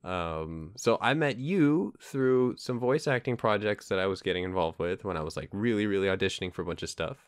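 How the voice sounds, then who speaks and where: A man's voice: low voice, animated, a little fast, hardly any pauses, clean tone, no echo, studio quality. A man hosting a podcast in casual chat, informal and prone to repeating his phrases. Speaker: podcast host.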